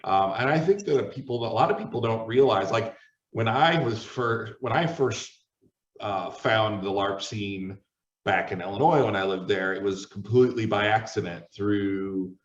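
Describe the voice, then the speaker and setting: A man moderates a casual discussion in a fairly low voice. He sounds set back from the microphone, in a clearly echoey room, talking conversationally as the host. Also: normal speed. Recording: echoey room, distant mic, muffled.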